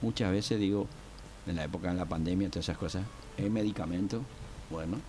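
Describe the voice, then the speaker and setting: An older man from Argentina, a guest on a radio show, telling his story in a rambling, halting way with many fillers. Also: deep voice, mellow, slight background noise. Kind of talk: casual radio interview.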